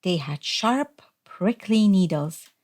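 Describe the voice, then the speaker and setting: A woman reading a children's story aloud as narrator, in a deliberate, expressive storytelling manner. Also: fairly low pitch. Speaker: storybook reader.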